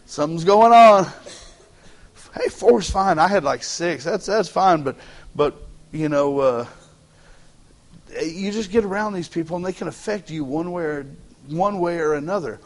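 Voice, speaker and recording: A man's voice: sits high, unhurried, long pauses. A man with a Texan accent, a pastor preaching a casual, joking sermon in church with folksy asides and stumbling restarts. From Texas. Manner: joking, folksy, repeats words as he starts phrases. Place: church sanctuary.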